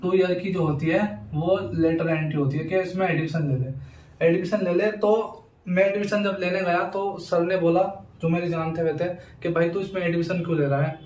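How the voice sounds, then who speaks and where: A man's voice: high voice, steady tone, normal speed, a little mellow. A young man talking informally to students as a YouTuber, insistent and repeating himself with frequent verbal tags. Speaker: education YouTuber. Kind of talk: informal talk to camera for students. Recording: roomy echo, distant mic, faint noise.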